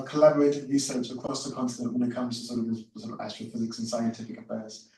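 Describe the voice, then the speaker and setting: A man's voice: medium pitch, a little mellow. A young adult man, a student in an audience, asking a question in a hesitant, roundabout way at a public talk. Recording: echoey room from far off, faint noise.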